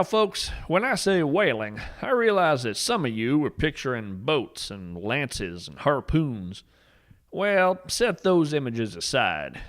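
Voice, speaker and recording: A man's voice: mid-range voice, a bit bright. A man playing a folksy, eccentric naturalist adventurer, narrating a whimsical fiction podcast in a theatrical, earnest manner. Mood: grandly earnest. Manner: folksy, theatrical storyteller delivery. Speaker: podcast host and storyteller.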